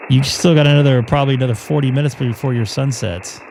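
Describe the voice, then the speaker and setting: A man hosting a ham radio live stream, chatting loosely with lots of fillers as his voice begins to wear and go hoarse. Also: medium pitch, fairly even intonation, quick pace, a little mellow. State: voice getting worn, starting to go hoarse. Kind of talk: casual chat to a live stream audience. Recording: noisy background hum.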